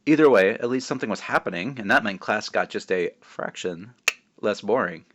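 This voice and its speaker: Male children's author, slightly low pitch, informal storybook narration, self-deprecating asides. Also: animated, a little fast, fairly bright tone, highs cut off. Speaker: children's author reading aloud.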